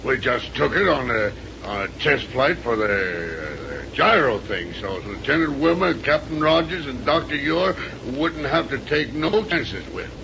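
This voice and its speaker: An actor playing a gruff, simple, loyal reformed air pirate in a 1930s radio serial, halting and stammering, with uneducated grammar. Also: male voice, medium pitch, big pitch swings. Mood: defensive, stubborn. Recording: hissy vintage 1930s radio recording.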